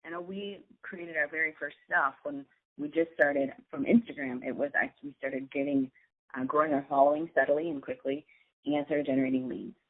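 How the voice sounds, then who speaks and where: A woman's voice: sits low, steady tone, brisk. A woman co-hosting a casual instructional chat, her voice going from a chest cold. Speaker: co-host, online business coach. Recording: thin, phone-like band-limited sound.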